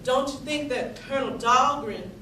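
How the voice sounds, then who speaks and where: A woman's voice: sits high, moderate pace, pushed and bright. A woman playing a frightened, spirited enslaved young spy of the Civil War, telling her story theatrically in breathless, stammering exclamations. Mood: indignant, rueful. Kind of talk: theatrical stage monologue, narrating and reliving events. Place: theatre stage, miked from a distance. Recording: roomy distant stage capture, faint noise.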